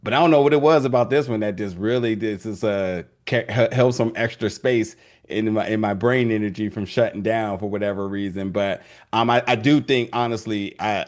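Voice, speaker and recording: A young man hosting a casual video interview, talking loosely with many restarts and fillers. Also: on the low side.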